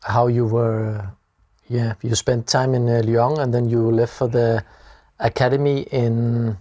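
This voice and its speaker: A man's voice: mid-range voice, flat delivery, unhurried, mellow, no echo. A man interviewing a footballer in English, friendly and self-deprecating, with many filler sounds. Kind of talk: casual interview.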